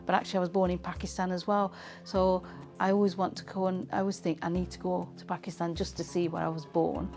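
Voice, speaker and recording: A woman's voice: fairly low pitch, level tone, fast, gravelly, a little mellow, faint music behind. A woman, reminiscing in a conversational, reflective way as she is interviewed. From England.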